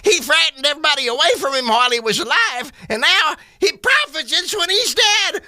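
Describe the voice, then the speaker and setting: A man's voice: extremely high pitch, lively intonation, a little fast, pushed and bright, no room sound, clean studio sound, pitched above the performer's own voice, heavily acted. A man voicing a sly old junk dealer who haggles and stammers in a radio theater piece. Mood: incredulous, amused.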